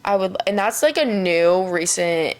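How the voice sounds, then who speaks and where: A woman's voice: fairly low pitch, animated, unhurried. A woman in her twenties hosting a podcast, chatting casually and saying "like" a lot. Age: 20s. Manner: chatty, heavy use of "like". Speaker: podcast host. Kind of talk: casual chat.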